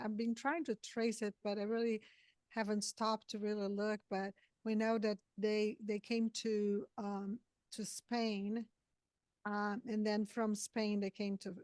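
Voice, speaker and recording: A woman from Brazil, Portuguese her first language, hosting an interview over a video call and speaking in halting phrases with frequent restarts. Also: medium pitch, darkish tone, no room sound. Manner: halting, many restarts and fillers. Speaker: podcast host. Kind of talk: casual interview chat.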